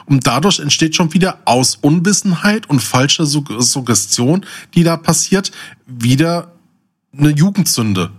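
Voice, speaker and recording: A man's voice: on the high side, expressive, unhurried, breathy edge. A man talking casually as a podcast co-host, blunt, opinionated and colloquial.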